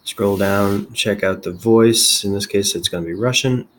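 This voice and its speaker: A man giving a casual software demonstration as a tech video host, halting and thinking aloud as he goes. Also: fairly low pitch, a little hiss or hum.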